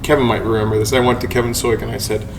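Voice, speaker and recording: A man guest tells his story in a self-deprecating way, laughing at himself in casual chat. He sits at some distance from the mic, so clear room noise comes through with his voice. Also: medium pitch, little pitch movement, very fast. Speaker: podcast guest, coffee roaster.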